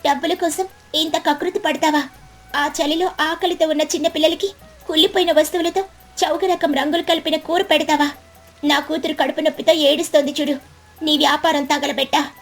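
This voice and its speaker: Woman as an urgent, high-voiced mother sparrow. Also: steady tone, fairly quick, close to an everyday voice. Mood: furious. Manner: urgent, emotional. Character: fierce, protective mother sparrow in a children's cartoon. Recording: background music bed.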